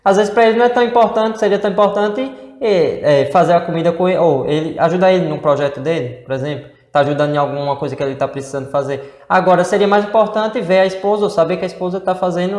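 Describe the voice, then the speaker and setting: Male co-host, Northeastern Brazilian accent, rambling explainer, slightly high voice, recorded in a reverberant room. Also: darkish tone. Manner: rambling, many fillers and rhetorical questions. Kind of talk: casual explanatory chat. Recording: echoey room with long reverb.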